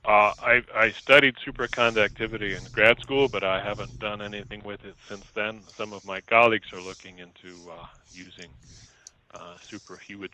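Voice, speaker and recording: Physicist guy explaining stuff, really low voice but super crisp and bright, measured and teachery. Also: steady tone, rough recording. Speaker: physicist, laboratory researcher. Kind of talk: informal scientific explanation over the phone.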